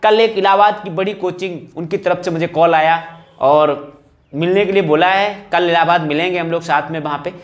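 A man's voice: sits high, steady tone, fairly quick. A man speaking insistently in an informal update to exam candidates, like a coaching teacher appealing to students. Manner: insistent, repeating rhetorical questions and appeals.

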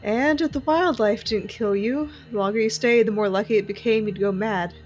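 A woman's voice: fairly high pitch, brisk, darkish tone, close to an everyday voice. A woman playing a tense, watchful young intelligence agent, narrating her own story in an audio drama. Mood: grim, tense. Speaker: narrator and lead character. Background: music.

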